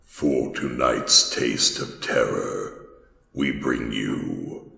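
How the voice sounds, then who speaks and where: A sinister radio horror host, extremely deep and thick with gravelly fry. He draws out each phrase very slowly and theatrically, in an echoing space. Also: sharp tone, full character voice. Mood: ominous. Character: sinister, slow bedtime-story horror host. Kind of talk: theatrical horror anthology introduction.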